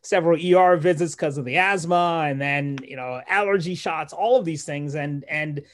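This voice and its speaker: A man in his forties from Malawi, a neurologist guest telling his life story in a relaxed interview, pausing and restarting as he thinks. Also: on the high side. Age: 40s. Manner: thoughtful, with frequent restarts and light laughs.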